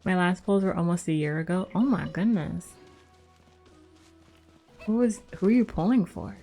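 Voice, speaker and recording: A woman's voice: lowish voice, normal speed, warm tone, soft background music. A young adult woman livestreaming a game, chatting casually and reacting as she plays.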